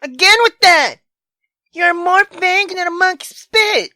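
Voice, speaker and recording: A woman voicing a furious, drunken, jealous wife in a visual novel, with a shrill, accusing and sarcastic manner. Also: lively intonation, a little slow, sharp tone, dry recording, studio quality, full character voice. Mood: angry, demanding.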